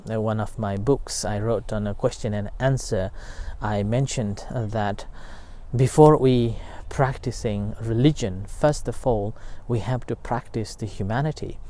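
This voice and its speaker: A middle-aged man speaks as a meditation teacher in a warm, mellow voice. His comes slowly, full of pauses and restarts, as he reflects aloud. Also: on the low side. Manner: halting, many fillers and restarts. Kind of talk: unscripted dharma talk.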